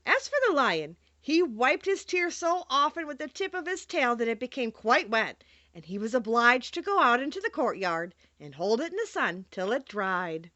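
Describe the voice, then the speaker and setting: A woman narrating a children's storybook in an expressive, theatrical read-aloud style. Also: on the high side, normal speed, no echo, muffled recording. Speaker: audiobook narrator.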